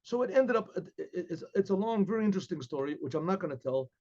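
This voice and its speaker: Older man, American accent, storyteller, rambling, throat-clearing, slightly high, mellow, dull webcam sound. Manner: rambling, repeats words, clears throat often. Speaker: rabbi telling a story. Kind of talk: casual storytelling class. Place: study lined with bookshelves. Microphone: webcam at desk.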